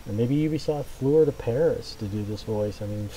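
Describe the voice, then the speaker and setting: A man's voice: on the low side, brisk, dark tone, low-quality recording. A man giving casual, unscripted gaming commentary in a rambling, hesitant way. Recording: faint game ambience behind.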